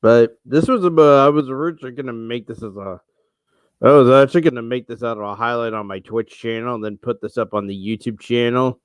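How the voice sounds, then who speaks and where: A man's voice: medium pitch, fairly quick, clean tone, no echo. A man in his thirties ranting on a solo livestream, rambling and restarting, frustrated, talking into a headset mic in a small room at home. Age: 30s. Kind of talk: casual solo livestream rant.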